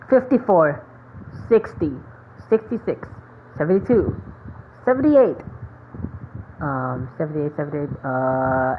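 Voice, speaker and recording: Low-voiced woman gamer on a tinny mic. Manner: rambling, thinking aloud. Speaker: gaming streamer. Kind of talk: casual gameplay commentary. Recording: thin phone-like mic, noisy.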